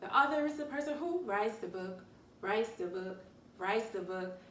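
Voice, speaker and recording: A woman teacher reading a story aloud to young children, expressive and deliberate, stretching key words for emphasis. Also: mid-range voice. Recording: roomy, distant mic, faint noise.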